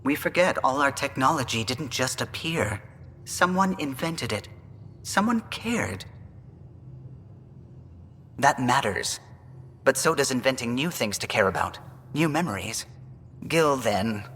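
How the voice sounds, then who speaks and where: Animated, earnest alien starship pilot. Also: male voice, mid-range voice, a little slow, long pauses, long reverb tail, a little hiss or hum. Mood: earnest, reflective. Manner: polite, precise, animated. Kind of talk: video game character acting.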